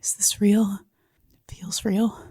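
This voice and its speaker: A woman's voice: medium pitch, little pitch movement, slow, dark tone, slightly rough, dry recording, studio quality. A woman acting the role of a nervous young woman who covers fear with wry jokes in a screenplay table read, tentative and sardonic. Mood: frightened.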